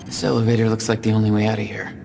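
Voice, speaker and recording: A man's voice: fairly low pitch, completely flat delivery, very fast, over music. A man playing a blunt, bitter and determined hero in a video game, speaking tersely in a naturalistic style. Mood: focused. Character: determined, bitter hero out to confront his former friend. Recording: clearly noisy, vehicle and ambient sound.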